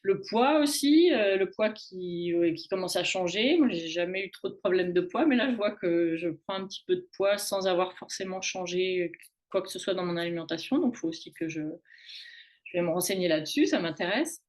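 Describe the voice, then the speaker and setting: A woman's voice: on the low side, lively intonation, darkish tone. A woman in her late forties talking casually as a show guest, often stopping and restarting her sentences. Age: late 40s. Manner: halting, many restarts and fillers. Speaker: guest on a women's wellness talk show. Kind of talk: casual conversational talk. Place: in Canada.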